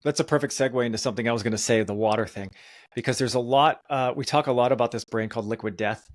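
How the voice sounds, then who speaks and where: A man's voice: mid-range voice, quick pace, no room sound, clean studio sound. A man hosting a podcast, chatting casually in an emphatic, enthusiastic way. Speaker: podcast host.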